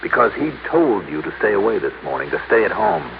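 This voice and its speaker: An actor playing a shrewd, cool American investigator, measured and probing, in an old-time radio drama. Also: male voice, on the low side, sing-song, vocal fry. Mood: accusing. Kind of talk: old-time radio drama acting and first-person narration. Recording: muffled, noisy old radio recording.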